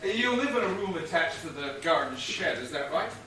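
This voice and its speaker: Man playing forceful, high-pitched exasperated police inspector, echoey. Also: sing-song. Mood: dry, probing. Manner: brisk, dry, officious questioning. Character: exasperated male police inspector in a comic whodunit. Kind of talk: comic stage play acting. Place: stage of a small theatre. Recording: distant echoey theatre recording, faint noise.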